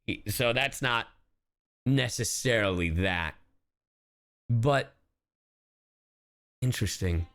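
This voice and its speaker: A man streaming casual live reactions to a video game, excitable and sweary in how he talks. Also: on the low side, slow, pauses often.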